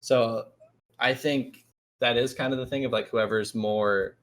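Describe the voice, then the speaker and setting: A man guesting as a team shot caller, talking loudly in a rambling, filler-heavy casual way. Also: mid-range voice, steady tone, unhurried. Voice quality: loud voice. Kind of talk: casual panel chat.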